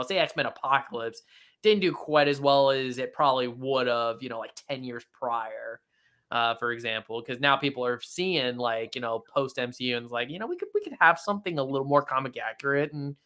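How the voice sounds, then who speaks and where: A man's voice: mid-range voice, bright, forceful tone. A man chatting casually about films as a guest, rambling and conversational, looping back through filler phrases. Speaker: guest co-commentator. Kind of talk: casual film chat.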